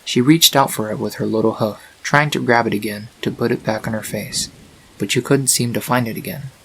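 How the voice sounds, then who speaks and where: A man's voice: medium pitch, steady tone, fairly quick, vocal fry, low-quality recording. Man narrating a story, faint noise. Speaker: fan fiction narrator.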